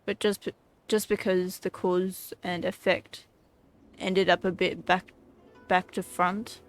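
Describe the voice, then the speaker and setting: A woman streaming a game, speaking at a slow pace with very even intonation. She comments and reads lines aloud haltingly, with soft game music behind her. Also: fairly low pitch. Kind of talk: live game commentary, reading dialogue aloud.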